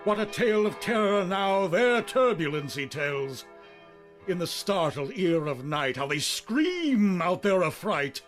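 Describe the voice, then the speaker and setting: A man's voice: high voice, lively intonation, unhurried, a bit bright. A man giving an intense, theatrical poetry recitation, drawing out repeated words. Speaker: poetry reader. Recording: music playing behind the voice. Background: music.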